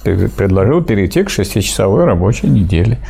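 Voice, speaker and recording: A man in a casual discussion, talking animatedly, with sharp pitch jumps for emphasis and mocking quotes of others. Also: very animated, quick pace, gravelly.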